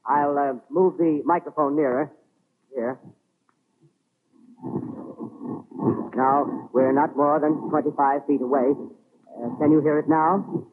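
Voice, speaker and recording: A man acting an earnest, excitable American radio reporter in a 1930s radio drama, giving halting, urgent eyewitness news. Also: fairly high pitch. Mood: excited, hushed. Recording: scratchy, narrow-band 1930s radio broadcast recording.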